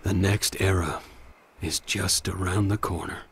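Low, unhurried ninja teacher. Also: male voice, steady tone, fairly bright tone, husky, exaggerated voice. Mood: reflective. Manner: unhurried, musing, understated. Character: laid-back, reflective male ninja teacher, adult. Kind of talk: English anime dub acting.

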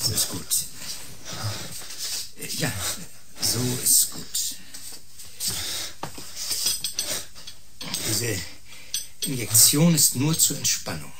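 An actor playing a falsely soothing doctor with sinister intent in a German radio drama, measured and placating. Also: male voice, medium pitch, very animated, very noisy.

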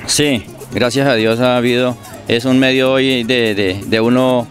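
A man's voice: mid-range voice, steady tone, unhurried, faint music behind. A middle-aged man with a Colombian accent giving casual interview answers outdoors as a musician guest. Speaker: musician guest, singer-songwriter. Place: outdoors in a park. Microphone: handheld interview microphone held by host.

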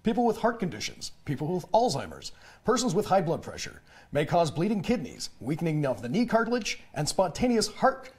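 Theatrical 1950s radio announcer played by a man, high-pitched, husky, wildly animated delivery. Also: fairly quick, heavily acted. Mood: mock-serious. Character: fast-talking 1950s radio ad announcer reading warnings. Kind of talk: 1950s radio advert acting.

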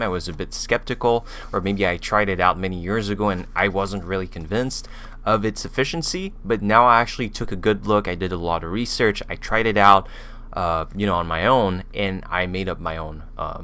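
A man with a French accent speaks in a low voice with a bright, forceful tone. He talks casually and hesitantly, often pausing on fillers and restarting. He is close to a studio microphone in a small room. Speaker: language learning YouTuber. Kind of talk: casual video review. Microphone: studio mic with pop filter on boom arm.

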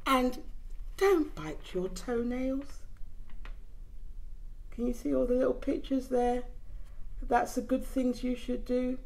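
A middle-aged woman reading a picture book aloud to young children, expressive and gently teacherly, putting questions to them. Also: high voice. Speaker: children's story time reader. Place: indoors in front of a decorated backdrop.

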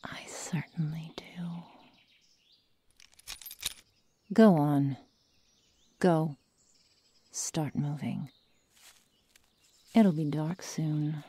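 A woman playing a sadistic young werewolf girl in an ASMR roleplay, coldly teasing and menacing in soft, breathy, often whispered speech close to the microphone. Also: sits low, steady tone, heavily acted. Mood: cruel gloating. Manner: slow, soft, mock-tender menace. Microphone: close microphone, dry sound.

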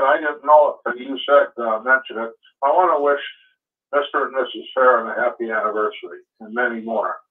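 A man calling in to a talk show, speaking in long runs padded with trailing fillers. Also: medium pitch. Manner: repetitive, trailing fillers ("and so forth"). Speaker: caller. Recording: phone line.

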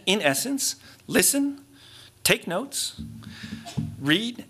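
A man's voice: on the high side, a bit bright. A middle-aged man delivering a keynote lecture from a lectern, reading from notes with restarts and muttered asides. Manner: measured, reads aloud with frequent restarts and asides. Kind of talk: academic lecture read from notes. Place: large lecture hall stage with curtains. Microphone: lectern microphone.

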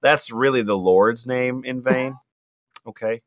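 A man reading posts aloud for comedy in a halting way, with casual asides and amusement in his delivery. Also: mid-range voice, no echo, clean studio sound. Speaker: reader and host on a comedy podcast.

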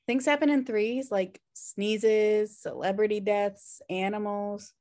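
A woman's voice: medium pitch, fairly even intonation, slow pace, mellow, lightly acted. A woman reading the part of a sardonic, wisecracking slacker sister in a sitcom table read over a video call. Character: flippant, sardonic slacker sister, adult twin. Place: indoor room at home on video call.

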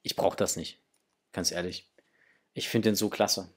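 A man's voice: low-pitched, steady tone, measured pace, husky. A man, chatting informally and enthusiastically as he presents a casual unboxing review at home. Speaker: toy review channel host. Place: small home room, indoors.

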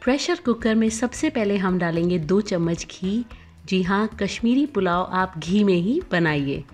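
A woman, host of a cooking channel, narrating a recipe voice-over with clear step-by-step instructions. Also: medium pitch, lively intonation, unhurried, darkish tone, soft background music.

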